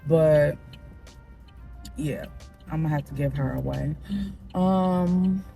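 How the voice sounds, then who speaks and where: A woman's voice: sits low, slow, vocal fry, dark tone, soft background music. A young woman with a slightly nasal voice, rambling casually to her vlog viewers and calling them y'all. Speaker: vlogger. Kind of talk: casual vlog chat to camera.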